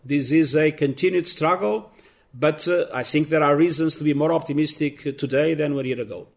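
A man giving measured political commentary as an interviewed guest, speaking slowly and deliberately with frequent pauses. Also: a little high, gravelly.

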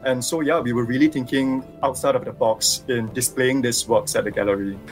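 A man from Singapore with a Singaporean accent, a visual artist calling into a radio interview over the phone, explaining his work in a thoughtful, measured way. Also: mid-range voice, steady tone, faint music behind, poor audio. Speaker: visual artist and sculptor guest. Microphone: phone line.

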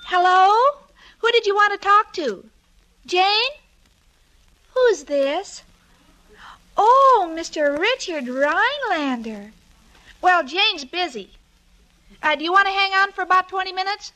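A woman's voice: very high voice, lively intonation, slow pace, long pauses, pushed and bright, heavily acted. An actress playing a sweet, naive and scatterbrained young woman in a 1940s radio comedy, earnest in everything she says. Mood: eager, scatterbrained. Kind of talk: 1940s radio sitcom acting. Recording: noisy 1940s radio recording.

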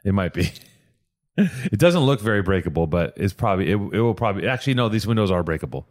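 Rambling low-voiced male podcast host. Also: sing-song, fast talker. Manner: rambling, with many restarts and repeated words. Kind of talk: casual podcast chat.

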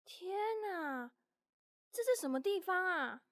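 A woman's voice: extremely high pitch, animated, slow pace, background noise, full character voice. A woman voicing a small, naive young mouse with a childlike, innocent and emotional way of talking in a children's audio story. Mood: puzzled.

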